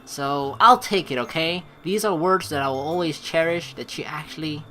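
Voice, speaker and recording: Male let's player, bright forceful voice, excitable rambling commentary, game music underneath. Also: on the high side, moderate pace. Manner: rambling, excitable, informal and sweary. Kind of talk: casual gameplay commentary mixed with reading dialogue aloud.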